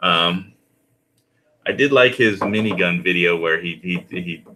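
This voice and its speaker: A man talking casually and ramblingly, in a halting way that often repeats and restarts phrases. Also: sits low, pushed and bright, a little hiss or hum.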